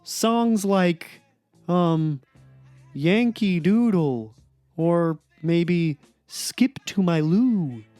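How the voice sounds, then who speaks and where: A man playing a gloomy, sardonic cartoon blogger in a dramatic reading, deadpan and aggrieved. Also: high voice, extremely slow, no echo, soft background music, full character voice. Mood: aggrieved, wry. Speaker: performer in a podcast dramatic reading. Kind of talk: dramatic reading of a comic blog.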